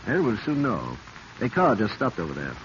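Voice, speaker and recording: Shrewd, courteous foreign official, deep voice, polite formal delivery, dull and noisy old radio sound. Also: male voice, wildly swooping pitch, vocal fry, exaggerated voice. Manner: formal, polite, measured phrasing. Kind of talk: 1940s radio acting.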